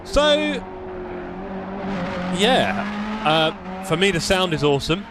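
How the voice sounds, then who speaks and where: Man, London accent, streamer, jokey banter, fairly high voice, racing game engines roaring behind. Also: big pitch swings, slow, background noise. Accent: London English. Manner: chatty, jokey, reads chat aloud. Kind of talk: live stream chat while gaming.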